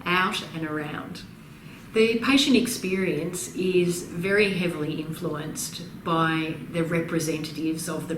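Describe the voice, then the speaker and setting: Woman, interview explainer, measured and emphatic, point-by-point delivery, echoey room, distant noisy recording. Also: on the low side. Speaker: practice consultant and author being interviewed. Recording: roomy, distant mic, noisy background.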